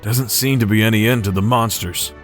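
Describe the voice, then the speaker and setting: A man's voice: fairly low pitch, fairly even intonation, a little fast, faint music behind, close to an everyday voice. Man voicing a wry, laid-back gunslinger. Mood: wry, casual. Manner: dry, teasing.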